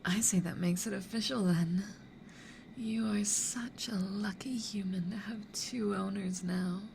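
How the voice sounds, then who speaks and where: Creaky, whispering female demon, acted by a woman. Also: medium pitch, fairly even intonation, a little slow. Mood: possessive, smug. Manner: hushed, teasing, whispery. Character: playful, mischievous demon who toys with humans. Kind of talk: whispered ASMR roleplay. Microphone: close studio condenser or binaural mic. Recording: clear background noise.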